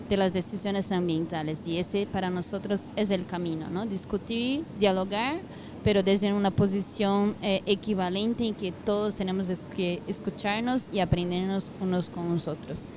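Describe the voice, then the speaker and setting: A woman's voice: medium pitch, background noise. A woman speaking on a conference panel, friendly and concise, rounding off her points with tag questions. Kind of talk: panel remarks. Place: conference venue.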